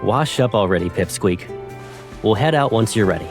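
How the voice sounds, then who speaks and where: A man's voice: on the low side, lively intonation, moderate pace, over music. An actor playing a playful, caring young man who teases and cajoles, in a fan dub of a romance game. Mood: playful, teasing. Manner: teasing, lightly cajoling.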